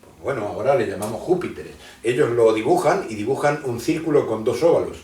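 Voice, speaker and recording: A man's voice: mid-range voice, brisk, a little mellow. A man from Argentina, a guest in an interview who explains his ideas at length and often hesitates and restarts his sentences. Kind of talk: conversational interview, lecturing. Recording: roomy sound from a distant mic.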